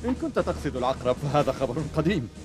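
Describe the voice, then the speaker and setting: Rapid-fire heroic male fighter played by an actor. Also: mid-range voice, very animated, natural voice. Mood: questioning, direct. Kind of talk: dubbed cartoon acting. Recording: loud music behind the voice.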